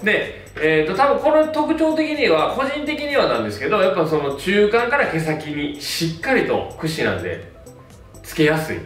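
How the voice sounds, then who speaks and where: A man in his thirties, a hairstylist, casually reviewing hair products with a relaxed, filler-heavy manner. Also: a little high, moderate pace, distant mic. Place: small plain indoor studio. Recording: roomy sound, mic set back, faint music.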